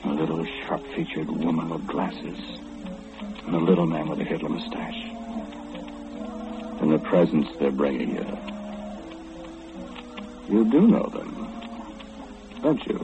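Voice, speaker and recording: Deep-voiced, ominous all-knowing man. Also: lively intonation, a little slow, pauses often, music in the background, full character voice. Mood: ominous, confiding. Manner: insistent, confiding, rhetorical questioning. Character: omniscient, ominous, frightened man warning the listener. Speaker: radio play lead actor. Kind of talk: old-time radio horror monologue, intimate address to the listener. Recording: muffled, hissy old radio recording.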